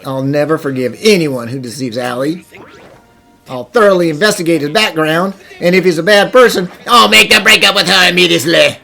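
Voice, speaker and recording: Deadpan male sceptic for animation, played by a man: creaky, forceful voice, music behind. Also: a little high, a little fast, full character voice. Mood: stern. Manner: deadpan, dry. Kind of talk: animated fan dub dialogue.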